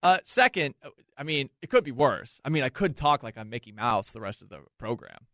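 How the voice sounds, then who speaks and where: A young man hosting a casual sports talk radio show, chatty and self-mocking with quick asides. His voice is dry and close, with no room sound. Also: mid-range voice, sing-song, bright, forceful tone, no room sound.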